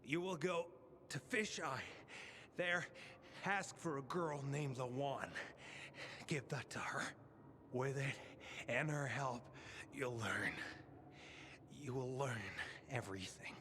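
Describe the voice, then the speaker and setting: A man's voice: medium pitch, slow, pauses often, background noise, close to an everyday voice. A man playing a wounded, urgent ally. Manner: urgent, strained, commanding. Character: wounded urgent male ally, middle-aged. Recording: game cutscene with music behind.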